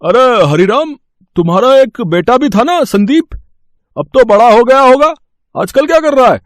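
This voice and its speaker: A man's voice: very high-pitched, animated, normal speed, no echo, studio quality. A man playing a talkative bakery owner in a dramatised moral story, tagging his remarks with little questions. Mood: hearty, inquisitive. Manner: talkative, adds tag questions. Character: brisk, chatty bakery owner, male boss.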